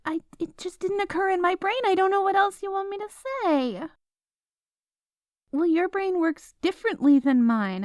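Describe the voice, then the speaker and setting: A woman acts out a stubborn, overtired writer girlfriend in an ASMR roleplay. Her voice is high and unhurried, recorded close and dry. She rambles and protests with dramatic indignation. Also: no echo, full character voice.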